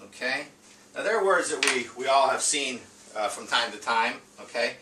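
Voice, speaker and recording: A man presenting an informal reading lesson as a teacher, speaking in a hesitant, halting way with frequent filler pauses. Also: mid-range voice, animated, bright, forceful tone. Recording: roomy, distant mic with faint noise.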